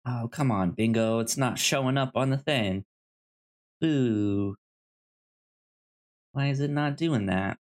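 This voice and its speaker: A man with a medium-pitched voice speaks slowly as a podcast co-host. He chats casually, hesitating and trailing off while he thinks aloud. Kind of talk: casual movie podcast chat.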